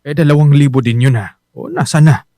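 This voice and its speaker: A man's voice: medium pitch, brisk, mellow, no echo, studio quality, lightly acted. An actor playing a poor, easily rattled husband and father who frets about money, plain-spoken and grumbling, in a radio drama. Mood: reproachful. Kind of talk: Filipino radio drama acting. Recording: music bed under the voice.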